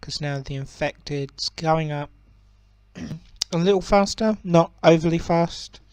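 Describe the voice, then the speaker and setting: A young man with a croaky voice giving relaxed, rambling commentary on a strategy game, with many ums and self-corrections. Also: on the high side, takes it slowly, no room sound. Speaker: gaming channel host.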